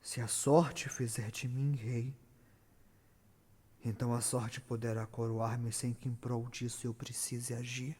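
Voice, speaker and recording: A man with a Brazilian accent playing an ambitious, brooding nobleman in a theatrical Shakespearean monologue, declaiming solemnly with measured pauses. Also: medium pitch, little pitch movement, darkish tone, husky. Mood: brooding, conflicted. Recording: reverberant room with long echo.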